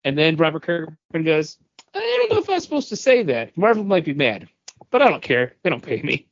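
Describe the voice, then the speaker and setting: A man co-hosting a casual entertainment news chat, halting as he searches for words. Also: a little high, huge pitch swings, gravelly. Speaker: podcast co-host presenting news. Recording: dull lo-fi sound.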